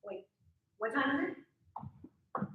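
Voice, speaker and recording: A woman's voice: high voice, expressive, very slow, distant mic, heavily acted. A woman acting a sassy, impatient young girlfriend in a table read of a comedy script. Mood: flustered. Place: live table read at an event in a room. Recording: very echoey room, dull, noisy background.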